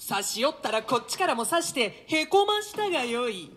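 A woman playing a boisterous, mocking young gentleman in a radio drama, declaiming archaic lines with bantering flamboyance. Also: high-pitched, big pitch swings, moderate pace, breathy edge, full character voice. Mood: teasing.

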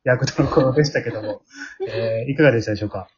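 A man's voice: mid-range voice, racing. A man with a Kansai accent hosting a casual round-table after-talk, often chiming in with small acknowledgements. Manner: frequent backchannels and chuckles. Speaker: host. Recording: dull, lo-fi sound.

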